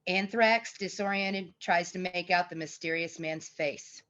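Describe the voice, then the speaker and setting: A woman's voice: on the low side, measured pace, a bit bright, lo-fi sound. A woman reading scripted screenplay narration and stage directions.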